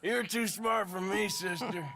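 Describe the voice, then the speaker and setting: An actor playing a weary, troubled outlaw in a video game, hesitant and sighing, with plain folksy speech. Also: male voice, high voice, a little slow, gravelly, noisy background. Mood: weary, vulnerable. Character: weary, troubled male outlaw confessing his fear.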